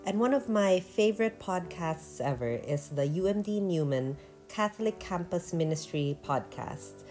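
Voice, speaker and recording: A woman's voice: fairly low pitch, expressive, unhurried, mellow, soft background music. Stuffy-nosed woman narrating her vlog. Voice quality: nasal. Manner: chatty and earnest. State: stuffy nose from allergies. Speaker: vlogger and painter. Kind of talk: casual voiceover narration.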